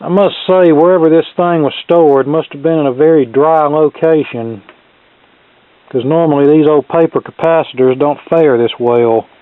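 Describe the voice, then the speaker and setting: A man's voice: fairly high pitch, moderate pace, dry recording. A middle-aged man casually talking through a hands-on repair in a plainspoken, folksy way. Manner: plainspoken, folksy turns of phrase. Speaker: hobbyist electronics repairman presenting a video. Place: workshop. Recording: phone-like narrow, tinny sound, close and dry.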